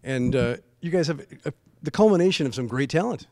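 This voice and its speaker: A man's voice: a little high, animated, brisk, darkish tone. A man hosting an upbeat on-camera interview, chatting in an effusive way. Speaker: interviewer and show host.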